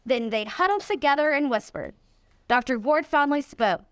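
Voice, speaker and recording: Female storybook reader, high-pitched, expressive delivery for children, close dry sound. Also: normal speed, dry recording. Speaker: volunteer reader, nonprofit executive. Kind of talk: read-aloud of a children's storybook.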